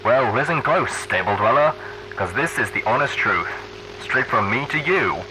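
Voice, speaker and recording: A man's voice: medium pitch, expressive, moderate pace, background noise, pitched above the performer's own voice, lightly acted. A man voicing an upbeat, theatrical pony radio news host who speaks in a showy, folksy, heartfelt broadcast style. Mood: earnest. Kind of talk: radio broadcast monologue, earnest and dramatic.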